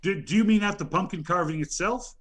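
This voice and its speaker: Man, high voice, aggrieved rambling nominee, lots of stammers and ums. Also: a little fast, a bit bright, husky. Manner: indignant, rambling, stammers with many fillers. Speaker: nominee on a comedy show. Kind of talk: improvised comedy rant.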